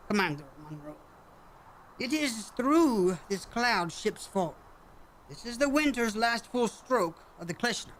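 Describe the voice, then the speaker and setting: An actor in a sci-fi radio play voicing a calm, formal alien hunter from a cave-dwelling village, with measured, stilted phrasing. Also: medium pitch, a little hiss or hum, poor audio.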